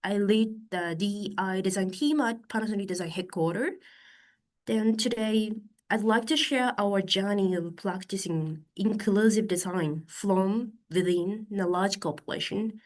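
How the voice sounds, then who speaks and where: A Japanese woman in her thirties speaking English as a second language, giving a conference talk over a video call, careful and halting with many fillers, a little stuffy from hay fever. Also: mid-range voice. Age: 30s.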